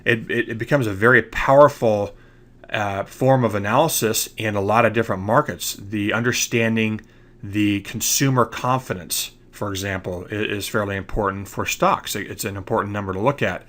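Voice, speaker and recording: A man's voice: fairly low pitch, steady tone, moderate pace, fairly bright tone. A man with a congested voice from allergies, explaining trading ideas over slides and often checking in with "okay?". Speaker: trading educator. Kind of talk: screen-recorded slide lecture.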